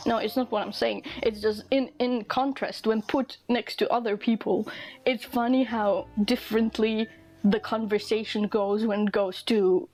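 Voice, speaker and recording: A young woman from Eastern Europe chatting casually in a rambling vlog while drawing, amused and quick to laugh, acting out the people she quotes. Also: fairly high pitch, steady tone, darkish tone, poor audio. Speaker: vlogger.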